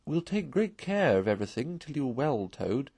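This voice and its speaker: A man's voice: mid-range voice, sing-song, normal speed, darkish tone, slightly rough, dry recording, studio quality, pitched above the performer's own voice. Man voicing a grave, earnest mole. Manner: grave, reproving. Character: grave, earnest male mole, dutiful friend.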